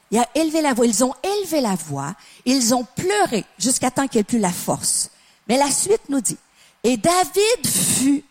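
A middle-aged woman from Canada with a Québécois French accent, preaching a sermon with passionate emphasis, building to exclamations. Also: high voice.

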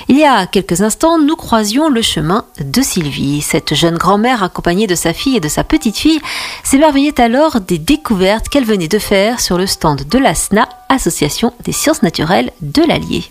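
A woman presenting a local radio programme from a script, in a lively, expressive way. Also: medium pitch. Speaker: radio host.

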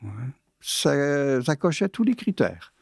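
A man in his late sixties from Quebec, with a Québécois French accent, telling his own life story casually with many pauses and fillers. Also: fairly high pitch, very animated, vocal fry, a little mellow, no echo, studio quality. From Quebec, Canada. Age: 60s. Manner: hesitant, many fillers and restarts. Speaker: interviewee.

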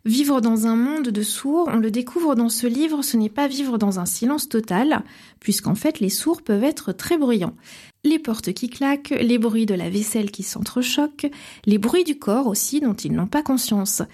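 A woman hosting a radio program, reading a scripted book review in measured, short phrases. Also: fairly high pitch, expressive, dark tone, breathy edge. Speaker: radio host.

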